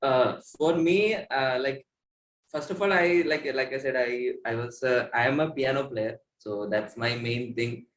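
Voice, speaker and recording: A man's voice: mid-range voice, unhurried, roomy sound, slight background noise, poor audio. A young man with an Indian accent chatting casually as an interviewed musician, in a rambling way peppered with "like". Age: young adult. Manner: rambling, frequent "like".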